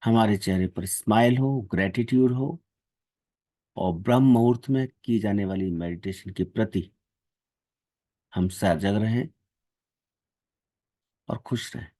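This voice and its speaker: A man leading a guided meditation and devotional prayers in a calm, measured, devotional manner. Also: lowish voice, dry recording.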